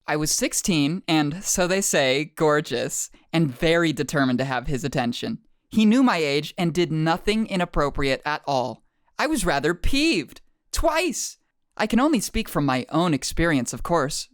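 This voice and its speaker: Woman acting a smug, low-voiced online commenter. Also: no echo, studio quality. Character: smug, vain, self-satisfied woman posting opinions online. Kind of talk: dramatic reading of social media posts.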